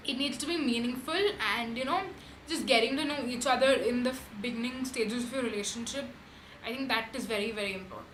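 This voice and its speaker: A woman's voice: on the high side, fast talker, a bit bright. Chatty young Indian woman, echoey room. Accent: Indian English. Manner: chatty, frequent "um" and "like". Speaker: beauty vlogger. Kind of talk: casual chat answering tag questions. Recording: echoey room with background noise.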